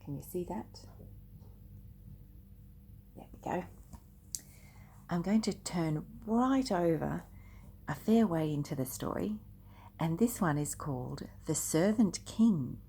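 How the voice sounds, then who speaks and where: An older woman reading a picture book aloud expressively and deliberately, speaking directly to young listeners. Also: lowish voice, animated, vocal fry, mellow, slight background noise. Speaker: storyteller.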